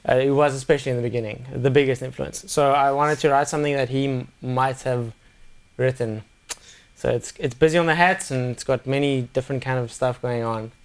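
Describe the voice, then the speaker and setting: A young South African man giving a relaxed, step-by-step drum lesson in a casual live session. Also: mid-range voice, a little fast, clean tone. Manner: relaxed, plain-spoken, talks through patterns step by step. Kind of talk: casual live demo explanation.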